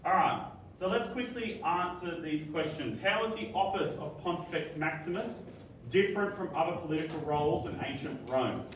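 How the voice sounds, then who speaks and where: A man's voice: on the high side, normal speed, fairly bright tone, slight background noise. A man teaching a history class, upbeat and jokey, quizzing his students. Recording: echoey room from far off.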